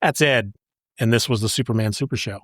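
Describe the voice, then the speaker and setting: A man's voice: fairly low pitch, fairly quick, no echo, clean studio sound. A man hosting a podcast, moving between casual chat and scripted show announcements. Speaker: podcast host.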